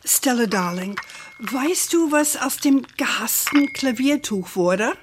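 A fond old grandmother, unhurried. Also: sits high, lively intonation, a little hiss or hum. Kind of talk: German children's radio play acting. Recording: music under the voice.

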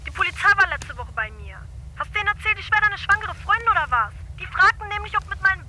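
A woman's voice: extremely high pitch, sing-song, fast talker, heavy background noise. An actress playing an exasperated, outspoken female friend, direct and reproachful, in a radio drama. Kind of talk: naturalistic radio drama acting.

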